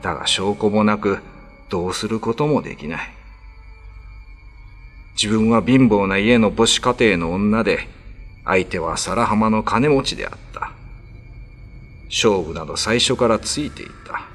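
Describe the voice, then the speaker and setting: A man's voice: fairly low pitch, measured pace, pauses often, a bit bright, faint music behind. A man narrating a classic ghost story as a dramatic scripted reading.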